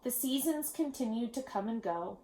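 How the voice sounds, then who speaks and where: A woman reading a children's picture book aloud in a measured, expressive storytelling manner with pauses. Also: a little high, low-quality recording. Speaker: storybook reader. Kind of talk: children's read-aloud narration.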